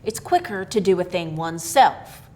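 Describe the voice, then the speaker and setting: A woman's voice: on the low side, animated, normal speed, mellow, voice pushed down, lightly acted. A woman doing a grumbling village husband. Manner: grumbling, dialect speech.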